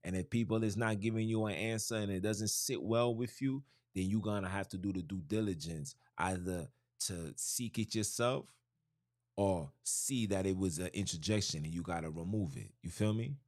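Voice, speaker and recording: Man, podcast host, low voice, casual street slang, rambling restarts, dry close sound. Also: normal speed, darkish tone, no room sound. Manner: loose, street-slang talk with frequent "you know what I'm saying" and restarts. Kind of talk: casual religious talk.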